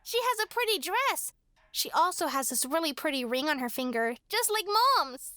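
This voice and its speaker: A woman's voice: high voice, big pitch swings, moderate pace, pushed and bright, no echo, exaggerated voice. A woman playing a vain, bratty, spoiled little girl in a horror radio drama. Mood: smug.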